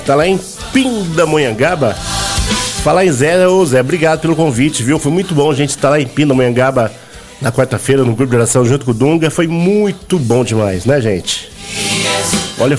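A man hosting a radio show, nasal from a cold, chatty as he reels off greetings to listeners. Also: fairly high pitch. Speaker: radio host. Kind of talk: casual radio shout-outs.

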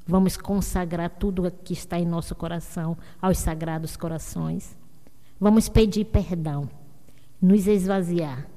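A woman's voice: fairly low pitch, dark tone. Solemn woman leading radio prayers. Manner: slow, solemn, intoning. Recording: long reverb.

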